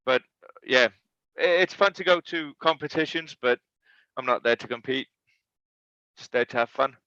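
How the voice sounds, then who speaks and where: A man's voice: mid-range voice, moderate pace, pushed and bright, dry recording, dull audio. A man chatting casually on a podcast, stumbling and repeating his words as he goes. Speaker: podcast co-host. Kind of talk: casual chat.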